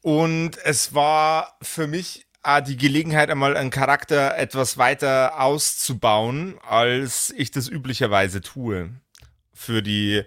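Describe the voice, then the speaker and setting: Male podcast co-host, medium pitch, very dry sound, colloquial and halting, casual game talk. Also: steady tone, fairly bright tone, dry recording. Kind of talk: casual chat looking back on a role-playing game.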